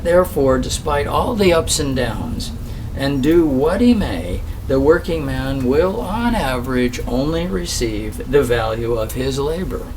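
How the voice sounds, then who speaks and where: A man reads a formal political speech at a slow pace, pausing and leaning on phrases for emphasis. His pitch sits in the middle range. The recording is distant, with clear noise behind the voice. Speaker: reader of a historical political speech. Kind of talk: reading aloud a formal speech, oratorical. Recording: distant mic, clear steady background hiss.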